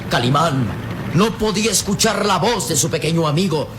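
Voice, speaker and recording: A man narrating a radio serial in a solemn, measured, suspenseful and dramatic scripted style. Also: on the high side, expressive, noisy background.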